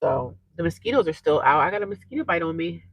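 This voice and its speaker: Congested young woman, rambling live-stream chat, cheap earphone mic, gravelly edge, dry close sound. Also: fairly low pitch, animated, fast, no room sound. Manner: rambling, chatty, talks straight to viewers.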